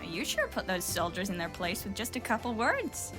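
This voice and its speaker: A woman's voice: mid-range voice, wildly swooping pitch, normal speed, music in the background. A woman playing a sassy, haughty teenage magical girl in a fan dub, bold in delivery. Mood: impressed. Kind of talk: fan dub character acting.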